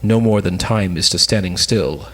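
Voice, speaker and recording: A young man reading the part of a devoted, lovesick courtier in an Elizabethan stage comedy, in a measured, declamatory way. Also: low voice, a bit bright. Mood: reverent. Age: young adult. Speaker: student reader in an amateur play reading.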